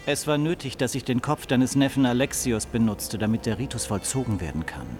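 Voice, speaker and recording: A man's voice: mid-range voice, a little fast, a little mellow, a little hiss or hum, lightly acted. A man playing a scheming, servile yet power-hungry sorcerer priest in a German radio play, speaking in a solemn, ceremonial manner. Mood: insistent, solemn.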